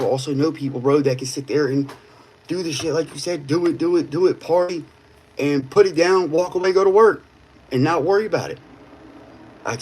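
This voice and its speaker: A man's voice: fairly low pitch, a little mellow, slight background noise, rough recording. A man in his thirties talking bluntly in casual streetwise slang on a livestream. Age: 30s. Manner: blunt, streetwise, peppered with "bro".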